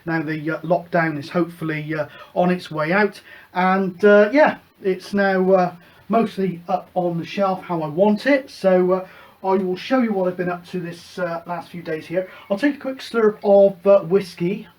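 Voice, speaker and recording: A man's voice: high-pitched, moderate pace. A middle-aged man hosting his own radio hobby show, chatting casually and rambling with plenty of fillers. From United Kingdom. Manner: relaxed, rambling, many fillers. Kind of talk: casual show-and-tell chat.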